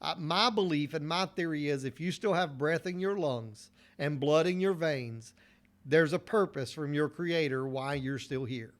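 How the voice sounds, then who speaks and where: A man with a fairly high voice gives a motivational talk to listeners. He speaks earnestly and directly, circling back over his phrases with a preacher-like rhythm. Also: unhurried. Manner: repeats phrases for emphasis, preacher-like cadence.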